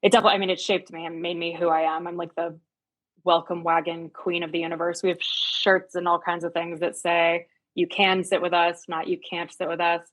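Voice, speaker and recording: Chatty woman with a lowish voice. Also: level tone, brisk, a bit bright, low-quality recording. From United States and Germany. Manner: chatty, emphatic, repeats words for stress. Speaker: real estate agent, podcast guest. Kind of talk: casual conversational interview.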